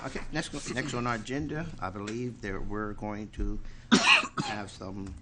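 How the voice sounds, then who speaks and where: A man's voice: lowish voice, steady tone, a loud crowd, very noisy. A man chairing a school board meeting, formal and courteous as he introduces speakers at a podium microphone. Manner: courteous, measured, with polite introductions.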